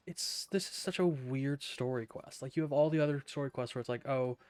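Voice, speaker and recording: A man's voice: medium pitch, normal speed, darkish tone, clear, resonant tone, no echo. A man streaming a game live, casually joking and laughing about the story. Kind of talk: casual live gaming commentary.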